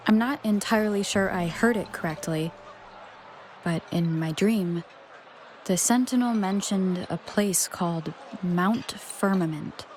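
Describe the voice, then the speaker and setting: A woman playing a calm, thoughtful young wanderer in a video game, speaking in a measured, earnest way. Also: mid-range voice, a little hiss or hum, lightly acted. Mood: reflective.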